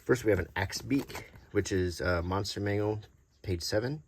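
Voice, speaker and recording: Low-voiced man, halting casual commentary. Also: a little hiss or hum. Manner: halting, unscripted, hesitant delivery. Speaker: host. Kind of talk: unintentional ASMR, casual show-and-tell commentary.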